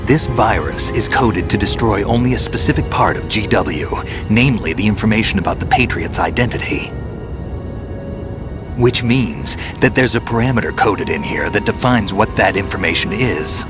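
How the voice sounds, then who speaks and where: Give me a man voicing a very low, grizzled soldier giving calm, terse advice over loud game music. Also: normal speed, natural voice. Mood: steady. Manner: terse, steady, advising. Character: grizzled male veteran soldier, calm mentor. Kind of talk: video game cutscene acting. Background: loud orchestral music.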